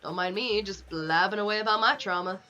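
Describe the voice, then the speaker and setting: A woman's voice: medium pitch, fairly quick, sharp tone, soft background music. A young woman chatting casually on a game livestream, candid and rambling, swearing freely as she goes.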